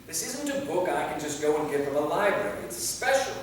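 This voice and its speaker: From far off in a reverberant hall, a man acts out an exasperated centuries-old male vampire. His put-upon delivery swings widely in pitch as he reads a comedy on stage. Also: big pitch swings, fairly quick. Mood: earnest, flustered. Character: exasperated immortal male vampire from the Middle Ages. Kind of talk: staged reading of a comedy play. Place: theater, recorded from a distance. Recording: echoey hall, far mic, faint noise.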